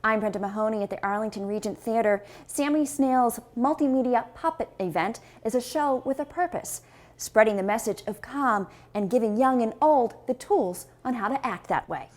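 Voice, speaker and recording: A woman's voice: a little high, normal speed, darkish tone. A woman delivering a scripted local news report as a field reporter.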